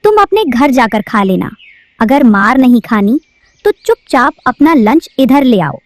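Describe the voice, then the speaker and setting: A woman's voice: fairly high pitch, big pitch swings, a little slow, no echo. A woman voicing a bossy, greedy female crow teacher in a cartoon, with a scolding manner. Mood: threatening. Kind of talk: cartoon voice acting.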